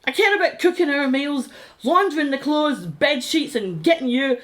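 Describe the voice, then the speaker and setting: A practical, sceptical middle-aged British wife in a radio drama. Her voice is high and very animated, full of exasperation and sarcasm. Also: normal speed. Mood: fed up. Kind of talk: radio play acting.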